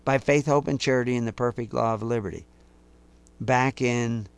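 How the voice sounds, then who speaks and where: A man preaching a sermon to his listeners, accusing and emphatic, slowing down to quote scripture in a measured cadence. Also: mid-range voice, creaky, smooth. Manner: intense, rising to emphatic outbursts; accusing, speaks directly to listeners.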